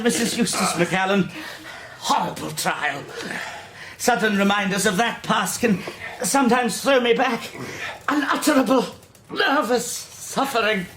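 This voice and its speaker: A grandiose, half-mad gentleman in a period radio drama. His high voice swings hugely as he declaims in florid, self-pitying phrases. There is audible background noise.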